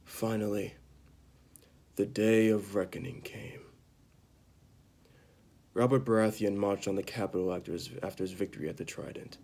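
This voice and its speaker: A man playing a bitter disgraced knight confessing to killing a mad king, in a halting, dramatic amateur monologue that drops into whispers. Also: lowish voice, creaky, dark tone. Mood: grave, confessional.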